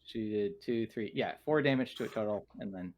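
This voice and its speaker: A man's voice: fairly low pitch, normal speed. A man talking casually as a player in a tabletop roleplaying game, working out his moves.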